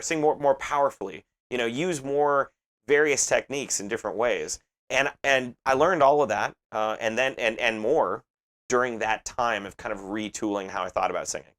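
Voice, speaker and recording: A man's voice: mid-range voice, fairly bright tone. A man in his late thirties, a metal band's lead singer, answering interview questions earnestly and often repeating words as he thinks aloud. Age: 30s.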